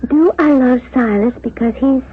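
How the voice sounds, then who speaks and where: High-voiced, spirited young woman. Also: normal speed, husky, narrow, tinny audio. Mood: torn, coy. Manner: proud, emphatic, girlish. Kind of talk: 1970s radio drama acting. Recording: thin, hissy 1970s radio recording.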